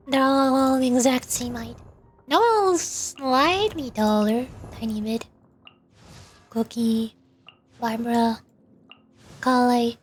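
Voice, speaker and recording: A woman livestreaming a game, talking casually with halting, repeated words. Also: high-pitched. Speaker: VTuber game streamer. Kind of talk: livestream read-aloud of game dialogue with casual commentary.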